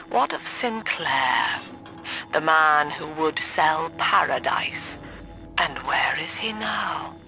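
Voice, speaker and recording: An actress playing a cold, zealous middle-aged cult-leader mother in a video game, with a British accent and measured, preachy formal diction. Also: low voice, creaky, heavily acted. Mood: cold menace. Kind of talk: video game villain monologue.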